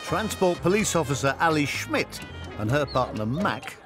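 A man narrating a documentary in a measured, scripted voice-over. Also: on the high side, sing-song, music in the background. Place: studio voice-over over music.

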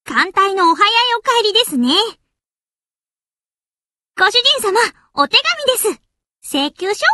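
A cheeky little anime game girl, extremely high and bright. Her delivery is drawn-out and swoops playfully, cutesy and mock-polite like an impish maid. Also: no echo, clean studio sound, full character voice. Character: cheeky, quirky young warship girl in maid-like servility. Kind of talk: Japanese anime game character lines.

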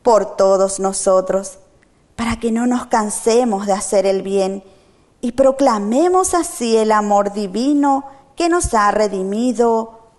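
Slow, measured woman reading prayers. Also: mid-range voice, lively intonation, a little mellow. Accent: Paraguayan Spanish. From Paraguay. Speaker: reader of prayers. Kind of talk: reading intercessions at Mass. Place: small chapel, indoors.

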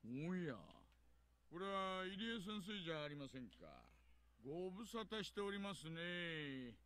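An actor playing a burly middle-aged detective in a visual novel, falsely polite, oily and mocking. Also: male voice, sits high, animated, a little fast, fairly bright tone. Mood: smug. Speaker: police detective. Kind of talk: Japanese visual novel voice acting.

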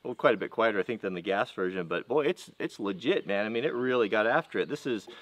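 A man's voice: on the low side, lively intonation, dry recording. A man in his forties testing tools outdoors, talking casually with many restarts and fillers. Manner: rambling, halting, full of restarts and fillers. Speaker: vlogger testing chainsaws. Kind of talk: casual hands-on demonstration. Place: outdoors in a yard by a tractor.

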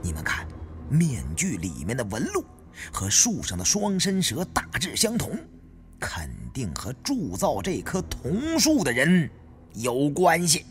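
A man's voice: fairly high pitch, huge pitch swings, measured pace, faint music behind. Man playing a cautious learned tomb-raid advisor. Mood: grave, pondering.

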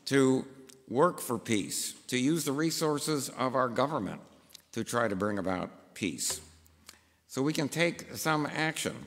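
An older male pastor with a gravelly voice, preaching into a pulpit microphone. He talks in a rambling, anecdotal way full of "uh"s, and his voice rings with long church reverb. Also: medium pitch, a bit bright. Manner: rambling, anecdotal, with frequent fillers. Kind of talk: sermon with personal stories. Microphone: gooseneck pulpit mic.

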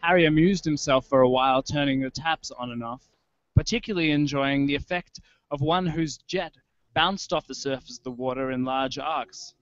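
A man in his thirties giving a measured, poetic literary reading. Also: medium pitch, dry recording. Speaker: writer reading his own fiction. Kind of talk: literary reading over a live soundscape. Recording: dull lo-fi web stream audio. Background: music.

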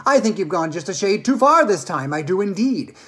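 A woman's voice: mid-range voice, sing-song, fairly quick, lightly acted. Woman playing a pompous, blustering rich father. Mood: indignant. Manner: self-important, blustering. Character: pompous, blustering wealthy father of a spoiled girl.

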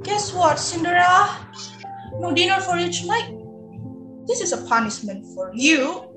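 Young woman playing bossy, high-pitched cruel stepmother. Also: expressive, a little slow. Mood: scornful, bossy. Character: cruel, scolding stepmother who dotes on her daughter. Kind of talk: readers theater acting on video call. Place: indoors on a video call. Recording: roomy echo, background music.

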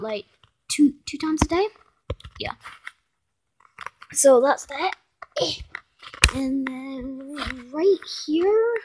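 A woman's voice: on the high side, noisy background. A young woman giving a casual home video tour of her toy horse barn, hesitant and often correcting herself, filmed on a handheld phone indoors. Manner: hesitant, self-correcting, many ums. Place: carpeted room at home, indoors. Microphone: handheld phone camera.

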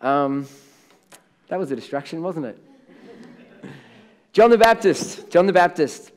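A man's voice: fairly high pitch, lively intonation, unhurried. A middle-aged man with an Australian accent preaching a sermon on a church stage, chatty, then rising to emphatic on key words. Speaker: pastor. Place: large church stage with blue lighting.

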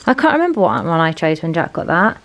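A woman's voice: deep voice, sing-song, quick pace, a bit bright. A young British woman chatting casually and informally to a handheld camera as she vlogs. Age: young adult. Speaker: vlogger. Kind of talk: casual vlog chat. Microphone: handheld camera at arm's length. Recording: noisy handheld vlog, room and car sounds.

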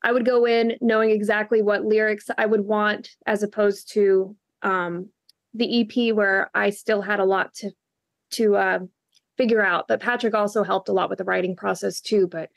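A young woman from Texas, a guest musician, talking softly and brightly about her singing on a video call from her living room. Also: medium pitch, vocal fry, dry recording. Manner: soft-spoken, upbeat. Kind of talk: casual interview chat.

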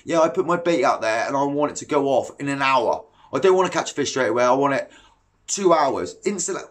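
Fishing vlogger, man in his thirties, animated and blunt, talking to a webcam in his living room. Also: mid-range voice, fairly quick. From England. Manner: blunt, animated and exasperated, gestures while talking. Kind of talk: ranting to camera. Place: living room at home, indoors. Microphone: webcam at desk distance.

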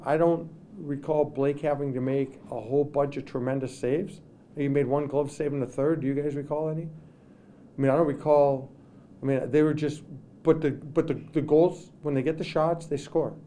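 Halting middle-aged male hockey coach. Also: mid-range voice, mellow, a little hiss or hum. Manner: halting, many restarts and "you know". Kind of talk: postgame press conference. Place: press room with sponsor backdrop. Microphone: table microphones in front.

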